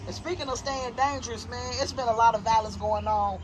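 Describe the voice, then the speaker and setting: A woman in her thirties with a Southern US accent hosts a loose sit-down chat. She talks in an animated, slangy way and makes strong opinions plain. A light hiss of background noise sits under her voice. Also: fairly high pitch, brisk. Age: 30s. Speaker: interview host. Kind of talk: casual interview chat.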